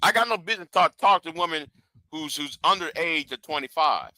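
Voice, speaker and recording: Stammering man in his forties, bright voice. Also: fairly high pitch, lively intonation, fairly quick, no echo, poor audio. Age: 40s. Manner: rambling, stammering repeats. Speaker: live stream host. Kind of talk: casual commentary.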